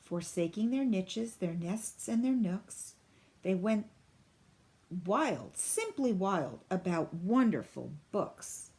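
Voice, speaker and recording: A woman reading a children's picture book aloud in an expressive, deliberate storybook style. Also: mid-range voice. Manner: deliberate, clearly phrased storybook delivery.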